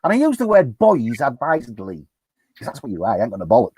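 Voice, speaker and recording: A Yorkshire man bantering on a live stream, swinging his voice up high in mock taunts. His speech leaps around in pitch. The sound is dry and close, with little room in it. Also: huge pitch swings, quick pace, dark tone, slightly rough, no room sound. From Yorkshire, England. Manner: jokey, taunting, rising to high mocking tones.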